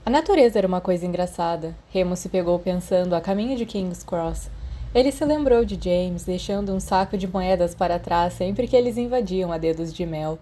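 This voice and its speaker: A woman's voice: lowish voice, a little fast, dark tone. A woman with a Brazilian Portuguese accent reading a story aloud as a scripted audiobook narration. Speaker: podfic narrator.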